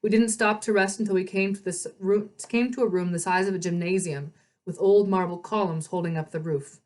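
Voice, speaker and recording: A woman reading a story aloud as narrator, in an expressive storybook style. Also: fairly low pitch, fairly quick.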